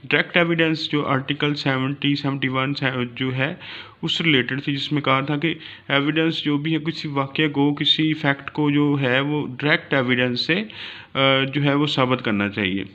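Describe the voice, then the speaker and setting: A Pakistani man with a sharp, forceful voice pitched a little high teaches in a didactic way. He explains step by step like a law lecturer, dropping in English terms. The recording is dull and lo-fi. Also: steady tone. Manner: didactic, reads citations slowly then explains.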